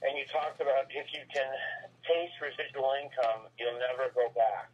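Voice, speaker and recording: A man's voice: medium pitch, moderate pace, slight background noise. Man on a very tinny phone line. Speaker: network marketing business owner, guest speaker on a team call. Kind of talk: motivational sales talk on a conference call. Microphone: telephone. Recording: very muffled, tinny telephone conference line.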